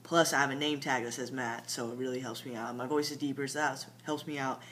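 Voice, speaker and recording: A man's voice: mid-range voice, steady tone, a little fast, fairly bright tone. A young man talking through a casual late-night video diary, rambling with lots of fillers and sometimes dropping to a mumble. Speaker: vlogger. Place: home at night. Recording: faint hum behind the voice.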